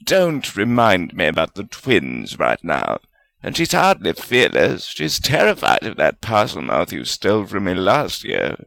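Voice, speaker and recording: A man voicing a fierce, excitable, jealous male wizard spirit with a snappish, theatrical manner in a storybook reading. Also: medium pitch, measured pace, creaky, no echo, pitched above the performer's own voice, full character voice. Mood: grumbling, needling.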